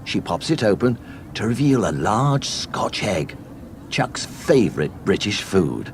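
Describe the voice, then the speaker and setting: A man narrating a scripted read-along storybook in a deliberate, deadpan way. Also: lowish voice, a little hiss or hum.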